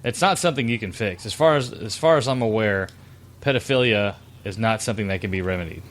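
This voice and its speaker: A man's voice: lowish voice, quick pace, slight background noise. A man on a podcast, joking crudely in loose casual chat.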